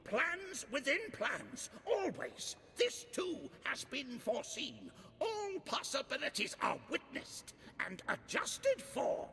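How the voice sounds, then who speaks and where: An actor playing a fanatical male cultist guard who shouts and laughs wildly, heard in a long reverberant space. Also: extremely high pitch, very animated, takes it slowly, vocal fry, few pauses, full character voice. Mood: fanatical raving. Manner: wild shouting, manic laughter.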